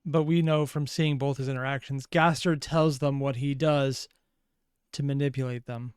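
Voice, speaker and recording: Low-voiced woman giving clear, dry commentary. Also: fairly even intonation, moderate pace, darkish tone, no room sound. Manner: musing, questioning, sighing between remarks. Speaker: reaction video host. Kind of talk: reaction commentary, analytical asides.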